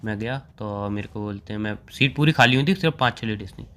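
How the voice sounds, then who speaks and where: A young man in his twenties bickering jokingly with his girlfriend, playfully exasperated and quick to argue. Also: on the low side, pushed and bright. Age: 20s. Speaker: boyfriend in a comic prank chat. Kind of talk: casual joking argument.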